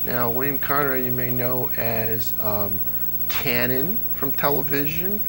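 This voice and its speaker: A man in his thirties, a guest on a studio interview show, who tells stories with animated energy and slips into quick impressions. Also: medium pitch, over music, poor audio. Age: 30s. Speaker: guest, radio enthusiast and TV producer. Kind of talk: casual studio interview chat.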